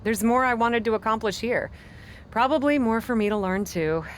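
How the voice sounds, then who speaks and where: A woman playing a cocky wrestling star drawn to dark power in a video game, with a brash delivery. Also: fairly high pitch, moderate pace, a little hiss or hum, natural voice. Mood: curious. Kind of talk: cinematic game dialogue.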